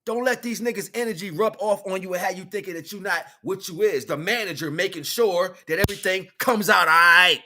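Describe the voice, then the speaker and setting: A man's voice: high-pitched, fairly quick, few pauses, bright, forceful tone. An American man giving a rambling, emphatic, freeform spiritual monologue in casual street slang, swearing freely. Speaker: livestream host, self-styled spiritual teacher.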